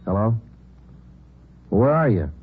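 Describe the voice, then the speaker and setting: A deep-voiced police detective narrates in flat, clipped, matter-of-fact sentences. Music plays underneath. The sound is thin and band-limited like a vintage broadcast. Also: male voice, slow pace, breathy edge, heavily acted. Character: terse police detective sergeant narrating, middle-aged man. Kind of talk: 1950s radio drama narration and dialogue. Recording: narrow-band old radio broadcast with music.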